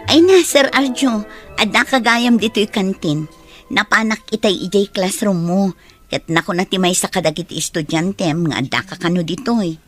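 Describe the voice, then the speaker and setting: A woman's voice: mid-range voice, normal speed, soft background music, lightly acted. A woman playing a friendly, loyal and chatty female teacher in an audio drama. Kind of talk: Ilocano audio drama acting.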